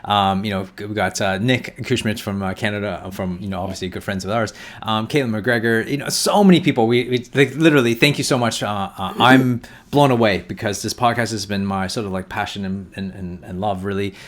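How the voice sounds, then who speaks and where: A man with a fairly low voice hosts a podcast in casual conversation. He rambles, stacking up fillers and false starts as he thanks listeners. He sounds middle-aged. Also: brisk. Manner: rambling with many fillers and restarts. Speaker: podcast host.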